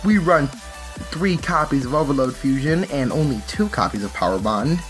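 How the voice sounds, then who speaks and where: A man's voice: medium pitch, animated, moderate pace, faint music behind. A man giving casual, unscripted commentary on card game play and decks, explaining things and correcting himself as he goes. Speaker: card game channel host.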